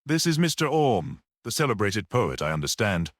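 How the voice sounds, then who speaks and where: A man voicing a modest, calm priest detective with a mild manner in a storybook reading. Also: on the low side, moderate pace, no room sound, clean studio sound. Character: modest, quiet, observant male priest detective.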